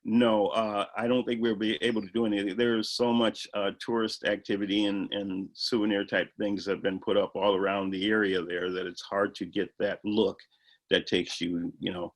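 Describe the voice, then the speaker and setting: A man with a fairly low voice talks about his film project in an interview. He explains eagerly and earnestly, often stopping for "you know" and "uh". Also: flat delivery, moderate pace. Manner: earnest, enthusiastic explaining with many fillers. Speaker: filmmaker guest. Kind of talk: interview answers.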